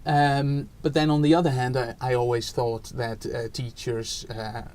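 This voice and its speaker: A man's voice: medium pitch, flat delivery, a little slow, darkish tone, slight background noise. A man in his forties from the Netherlands with a Dutch accent, answering interview questions in a thoughtful way, with many fillers and restarts. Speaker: education researcher guest. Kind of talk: podcast interview.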